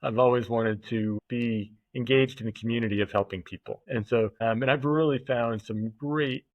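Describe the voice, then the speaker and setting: A man's voice: medium pitch, dry recording. Thoughtful man, dull recording. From United States. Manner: thoughtful, halting, with many fillers and restarts. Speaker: podcast guest. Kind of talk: casual conversational interview. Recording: dull, muffled podcast audio.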